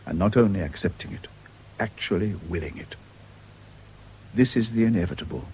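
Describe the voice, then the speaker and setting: An actor playing a calm, philosophical English counsellor in a radio drama, measured, solemn and moralizing. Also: male voice, fairly low pitch, a little hiss or hum, close to an everyday voice, low-quality recording. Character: grave, philosophical male counsellor, calm and unbending.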